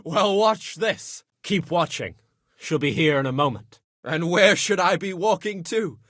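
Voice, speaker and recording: A man's voice: sits high, expressive, measured pace, fairly bright tone, no echo, clean studio sound, heavily acted. A man playing a lusty, self-pitying young nobleman in a video game, complaining dramatically in coarse, colloquial speech. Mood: defiant, rowdy. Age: young adult. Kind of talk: video game character dialogue.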